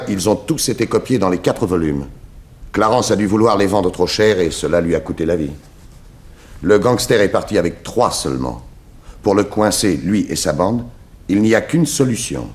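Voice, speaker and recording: A confident, methodical private detective in a 1960s radio drama. His voice is low, and he lays out his reasoning with firm assurance. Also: animated, normal speed. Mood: assured, explaining. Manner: assured, explanatory, crisp deductions. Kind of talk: 1960s French radio serial acting.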